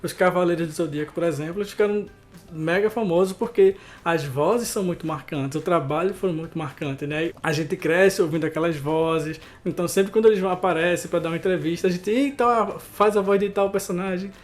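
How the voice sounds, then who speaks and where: Man w a pernambuco accent, kinda high voice, chatty explaining, keeps tacking on little questions. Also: fairly quick, faint music behind. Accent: Pernambuco Brazilian Portuguese. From Recife, Brazil. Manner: conversational, frequent tag questions. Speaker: voice artist interviewee. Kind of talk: explanatory interview answers.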